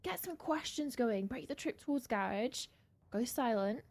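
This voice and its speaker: A woman's voice: on the high side, lively intonation, normal speed, darkish tone. A woman giving live analytical commentary on an esports match in a conversational, explanatory way.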